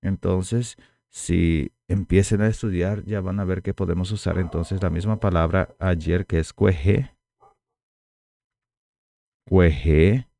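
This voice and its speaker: Low gravelly man teaching slowly. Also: steady tone, warm tone, dry recording. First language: Guarani. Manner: slow, repeats words for learners to copy. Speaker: language teacher. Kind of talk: language lesson.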